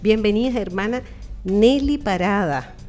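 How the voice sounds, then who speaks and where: A Chilean woman speaks as a radio host, chatty and informal. Her voice sits at an everyday middle pitch. She converses in a friendly interviewing style, with soft music underneath. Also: unhurried. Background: background music.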